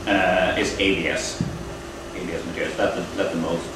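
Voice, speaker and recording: A man with a deep, low voice talks through a technical lecture in a halting, explanatory way. He is picked up from a distance in a very echoey university room. A steady air-conditioning hum runs underneath. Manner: halting, explanatory, with frequent pauses. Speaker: lecturer at a training event.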